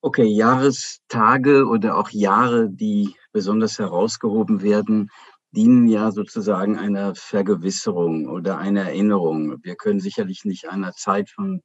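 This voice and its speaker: A man's voice: on the low side, fairly even intonation, normal speed, husky, no room sound. Thoughtful man on a dull remote line. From Israel. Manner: thoughtful, hesitant fillers. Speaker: professor, guest. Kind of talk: remote interview answer. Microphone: remote connection.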